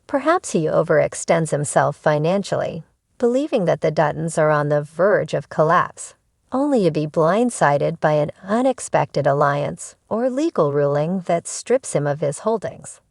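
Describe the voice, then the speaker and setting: Low-voiced woman reading scripted narration, gravelly edge. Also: lively intonation, moderate pace, a little mellow, no room sound, studio quality. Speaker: narrator of entertainment news video.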